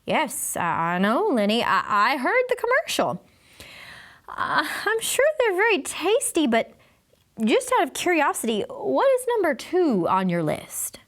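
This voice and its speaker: A woman's voice: high-pitched, huge pitch swings, voice pushed up, full character voice. Woman playing a patient, bemused male schoolteacher. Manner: halting, gently questioning. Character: patient, bemused male first-grade teacher.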